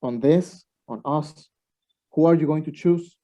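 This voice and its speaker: A man's voice: on the high side, measured pace, mellow, natural voice. A man playing a blunt, competitive, lovestruck young student in a radio play, challenging those around him. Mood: jealous, insistent. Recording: dull muffled lo-fi recording.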